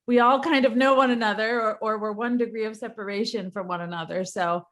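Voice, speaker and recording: A woman's voice: on the high side, fairly even intonation, a little fast. A woman hosting an interview podcast, chatty and friendly. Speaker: podcast host.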